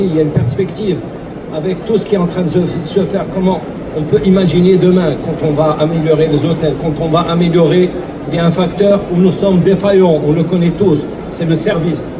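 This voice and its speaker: A man speaking earnestly on a public panel, often restarting and repeating words. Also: high voice. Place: event hall. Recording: distant mic with loud background noise.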